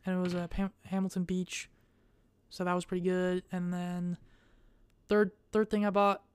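A young adult woman vlogging in a casual, rambling way. She speaks in a monotone and hesitates often, with many fillers. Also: fairly low pitch, normal speed, a little hiss or hum.

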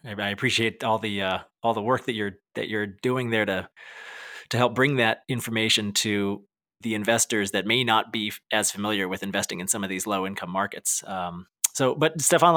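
A man hosting an interview, asking questions in a measured way with small stumbles and repeated words. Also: lowish voice, pushed and bright, no room sound, clean studio sound. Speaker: podcast host.

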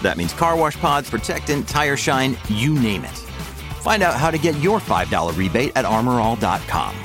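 A man's voice: medium pitch, big pitch swings, normal speed, over music. An American man reading scripted advertising copy as a commercial announcer.

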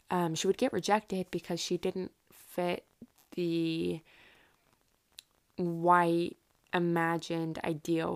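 A woman's voice: on the low side, gravelly, darkish tone. A woman hosting a solo podcast, telling history in a casual, informal way with rambling asides and self-interruptions. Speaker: podcast host.

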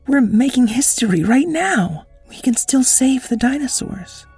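A man voicing an earnest young woman time traveler, speaking high and urgent over soft music. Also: very animated, unhurried, husky, exaggerated voice. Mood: eager.

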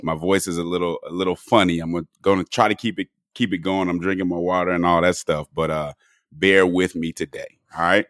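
A man hosting a podcast in relaxed conversational talk, his voice a little hoarse and cracking. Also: low voice, fairly quick, no echo, clean studio sound. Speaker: podcast host. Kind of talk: casual podcast chat.

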